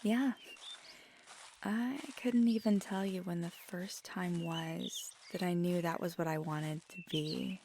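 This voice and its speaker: A woman playing a feisty, affectionate magical girlfriend in an intimate ASMR roleplay, soft-spoken and halting, sometimes slipping into a whisper. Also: on the low side, fairly even intonation, a little hiss or hum. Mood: wistful, fond.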